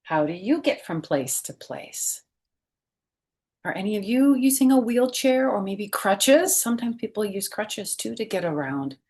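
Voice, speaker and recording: A woman's voice: medium pitch, sing-song, measured pace, darkish tone. A woman hosting a children's storytime, reading aloud expressively and speaking directly to young listeners with questions and simple explanations.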